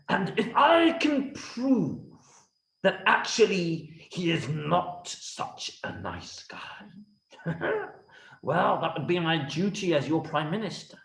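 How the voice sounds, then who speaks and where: Man playing a sly, stammering prime minister, very animated. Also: on the high side, exaggerated voice. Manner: stammering, throat-clearing, insinuating. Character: scheming, obsequious male prime minister, sly and bumbling. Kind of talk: theatrical children's storytelling. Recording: roomy, echoey room sound.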